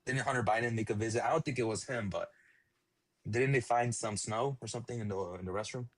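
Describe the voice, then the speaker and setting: A man's voice: mid-range voice, fast talker, dry recording. A man from El Salvador calling in to a debate stream, earnest and hesitant, with many ums. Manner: earnest, hesitant, filler-heavy. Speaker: caller. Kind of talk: casual call-in debate.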